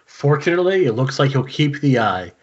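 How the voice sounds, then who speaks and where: A man's voice: mid-range voice, very animated, fairly quick, slightly rough. A man playing a hospital doctor in an amateur table read over an internet call. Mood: matter-of-fact. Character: hospital doctor delivering news. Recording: dull, very noisy internet call, clicks.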